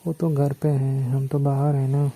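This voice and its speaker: Dark, husky Bhojpuri-accented man, teasing. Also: mid-range voice, completely flat delivery, measured pace, no echo. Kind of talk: casual teasing phone chat. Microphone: phone call.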